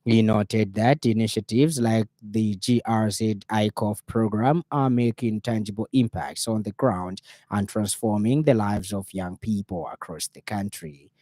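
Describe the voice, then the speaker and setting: A man with a Zambian accent reading a radio news bulletin in a formal, measured way. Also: on the low side, dark tone, no echo, studio quality.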